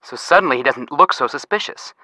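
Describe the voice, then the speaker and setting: A man's voice: mid-range voice, lively intonation, fairly quick, sharp tone, no room sound. A man playing a teasing, sarcastic young amateur detective who wisecracks playfully in a game. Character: wisecracking, teasing young male sleuth brother. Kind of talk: scripted game voice acting.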